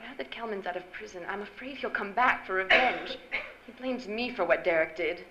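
A woman's voice: mid-range voice, moderate pace, lightly acted. A woman playing an elegant, confiding rich wife in a radio drama, with poised theatrical delivery. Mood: fearful. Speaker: actor. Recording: dull noisy live-stage recording.

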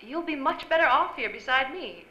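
Sultry 1940s radio femme fatale, played by a woman: coy, pleading, bright and high-pitched. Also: expressive, normal speed, highs cut off. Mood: seductive. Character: sultry femme fatale playing frightened and helpless, seductive. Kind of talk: 1940s radio drama acting. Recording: roomy live stage, faint noise.

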